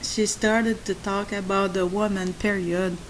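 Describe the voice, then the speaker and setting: Slow, soft-spoken accented woman. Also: medium pitch, flat delivery, a little hiss or hum, rough recording. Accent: non-native English. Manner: slow, halting, with many fillers and restarts. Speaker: channel host. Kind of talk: spoken monologue to subscribers.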